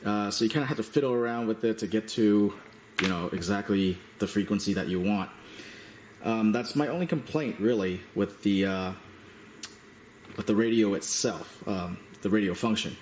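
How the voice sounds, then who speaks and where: A man giving a casual hands-on product demonstration as a reviewer, speaking in a halting, matter-of-fact way. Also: lowish voice. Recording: roomy echo, slight background noise.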